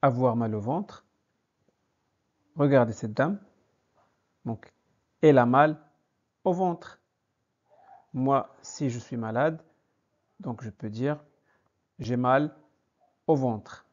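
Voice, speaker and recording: Male teacher, unhurried and methodical, repeats phrases for learners, slightly muffled recording. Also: mid-range voice, a little mellow. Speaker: French teacher. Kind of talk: slow vocabulary lesson. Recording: dull lo-fi recording.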